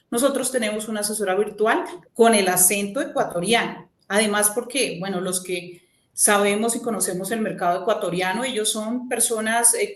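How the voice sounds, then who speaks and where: A woman speaking on a webinar panel, explaining at a measured pace with frequent fillers. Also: medium pitch, fairly bright tone. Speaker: panelist from a vacation company. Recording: echoey room.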